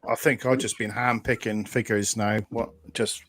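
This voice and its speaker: A man's voice: on the low side, steady tone, moderate pace, a bit bright, dry recording. A man hosting a casual live stream chat, talking loosely with frequent restarts and laughs. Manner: chatty, laughs often, trailing restarts.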